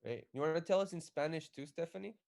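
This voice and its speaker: A man co-hosting an activist live stream, speaking in an energetic, rallying way and introducing guests. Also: a little high, fast, vocal fry.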